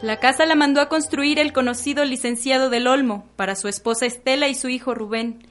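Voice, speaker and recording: A woman's voice: high voice, fairly even intonation, fairly quick, fairly bright tone, faint music behind. A young adult woman with a Mexican accent narrating a scripted radio drama.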